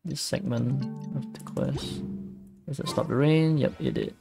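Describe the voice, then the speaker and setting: Male streamer, casual muttered play commentary, creaky voice, unhurried delivery. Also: medium pitch, sing-song. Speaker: game streamer. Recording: faint game music behind.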